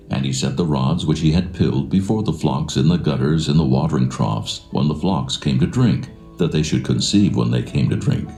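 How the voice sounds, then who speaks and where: A man with a gravelly, mellow voice narrating scripture in a solemn, measured, stately way. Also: extremely low pitch. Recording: roomy echo, faint music behind. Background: faint plucked guitar music.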